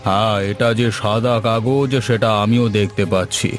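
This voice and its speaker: A man's voice: lowish voice, steady tone, normal speed, faint music behind, lightly acted. A man playing a stern, menacing village moneylender who talks down to his debtors in a cartoon moral story. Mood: menacing, impatient.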